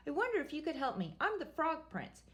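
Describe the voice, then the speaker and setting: A woman's voice: high-pitched, huge pitch swings, fairly quick, voice pushed up. A woman voicing a young male frog prince who pleads in a flustered rush and trips over his words. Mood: pleading.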